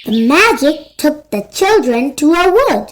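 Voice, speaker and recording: A woman narrating a children's storybook in a simple, deliberate read-aloud style. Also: sits high, very animated, a little hiss or hum.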